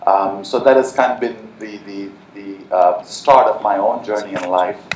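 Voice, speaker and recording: A man from Nepal talks earnestly on a panel with a somewhat low voice, pausing often with fillers and restarting phrases. He is picked up from a distance in a large, echoing conference hall with a faint hiss behind him. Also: fairly even intonation, a little mellow. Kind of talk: panel talk. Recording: echoey hall from far off.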